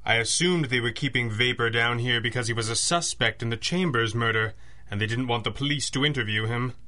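Sober crimefighter boss male actor, 1930s radio style: crisp, medium-pitched, sharp-edged. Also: fairly quick, close to an everyday voice. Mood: grave, urgent. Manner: crisp, formal, clipped.

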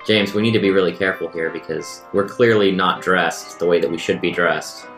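A man with a very low voice improvises in character at a tabletop game. He talks quickly, in a roomy space. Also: fairly even intonation, a bit bright. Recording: roomy sound with faint music.

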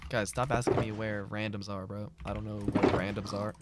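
A man's voice: lowish voice, little pitch movement, moderate pace, very noisy. A young man streaming a game, reading viewer comments aloud in slangy casual chat and getting exasperated with his chat. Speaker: gaming streamer.

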